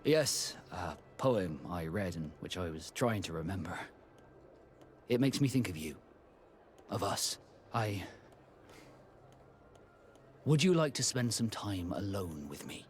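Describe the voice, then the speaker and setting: An actor playing a proud, loyal sea captain with a romantic, guarded streak in a video game, speaking in a husky, measured, formal way. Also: male voice, low-pitched, sing-song, slight background noise, full character voice. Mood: tender, wistful.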